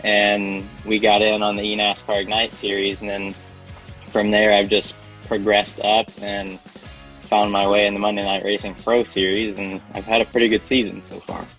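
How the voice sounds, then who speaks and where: Male driver interviewee, deep and monotone, casual, soft music behind. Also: moderate pace, rough recording. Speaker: sim racing driver.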